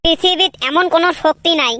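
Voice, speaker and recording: A man voicing a cheeky, grumbling village lad in a comedy cartoon, teasing in a northern Bengali Rangpur accent through a pitched-up filter. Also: quick pace, background noise. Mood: boastful banter. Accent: northern Bengali (Rangpur region). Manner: teasing, colloquial banter.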